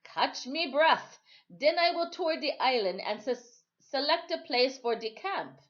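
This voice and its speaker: A woman's voice: sits high, expressive, a little slow, dull audio, pitched above the performer's own voice. A woman voicing a hearty, kindly older sailor from a storybook, speaking emphatic dialect with a lilt. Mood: grave, explaining. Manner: emphatic, exclamatory dialect speech. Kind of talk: storybook character read aloud.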